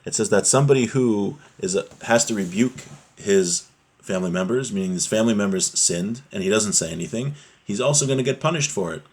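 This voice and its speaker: A man's voice: on the low side, fairly quick, a little mellow. A man giving a religious lesson, reading Hebrew text aloud and explaining it phrase by phrase in English. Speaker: religious teacher.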